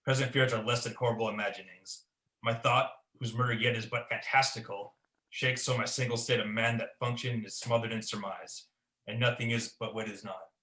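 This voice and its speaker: Young guy doing a brooding ambitious warrior lord, bright tense voice, roomy echo, shakespeare radio play. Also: medium pitch, steady tone, brisk, lightly acted. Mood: troubled brooding. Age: young adult. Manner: brooding, theatrical verse delivery. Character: ambitious warrior lord, troubled by dark thoughts. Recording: echoey room sound.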